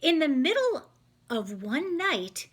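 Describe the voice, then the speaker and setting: A woman's voice: high-pitched, very animated, takes it slowly. A woman reading a picture book aloud to children, expressively, stopping to ask them simple questions. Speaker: children's read-aloud storyteller.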